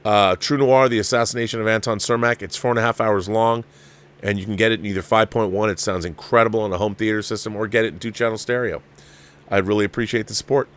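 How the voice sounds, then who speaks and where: A man's voice: fairly low pitch, steady tone, fast talker, a bit bright. Man rambling through a casual review. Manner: rambling, emphatic, repeats phrases. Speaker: host of a film review livestream. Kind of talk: casual off-the-cuff movie review chat to viewers.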